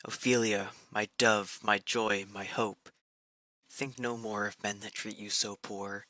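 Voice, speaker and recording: Bright, forceful man acting a devoted pleading lover. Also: lowish voice, level tone, slow, no echo. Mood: tender. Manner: earnest, formal, imploring. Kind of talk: poetic dramatic monologue.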